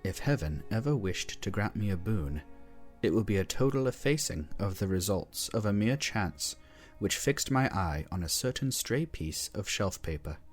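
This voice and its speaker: A man narrates a horror tale in a slow, grave and measured way. His voice sits low, with a faint musical bed behind him. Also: lively intonation, soft background music. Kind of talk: scripted horror story reading.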